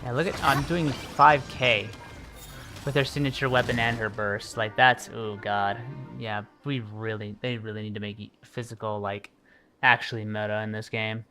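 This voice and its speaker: A man's voice: lowish voice, steady tone, normal speed, sharp tone, soft background music. A man livestreaming a video game with casual, rambling commentary, repeating himself in a mildly exasperated way. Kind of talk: casual live gaming commentary.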